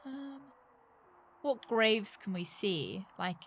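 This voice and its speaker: A woman's voice: medium pitch, animated, takes it slowly, fairly bright tone. A woman game streamer giving casual, playful live commentary, thinking aloud and exclaiming as she plays.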